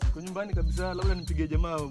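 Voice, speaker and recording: A man chatting on a phone call, brisk and offhand. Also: sits high, little pitch movement, loud music behind the voice. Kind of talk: casual phone conversation.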